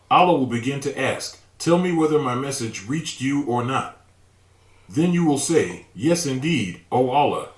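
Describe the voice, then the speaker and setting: A man giving a calm, measured scripted religious narration, reading out an imagined dialogue. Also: mid-range voice, reverberant.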